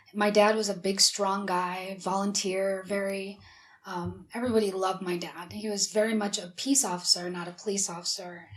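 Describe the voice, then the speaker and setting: Woman, raspy and breathy, chatty studio interview guest. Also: mid-range voice. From South Dakota. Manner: chatty, open storytelling. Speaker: whistleblower guest. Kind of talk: personal interview.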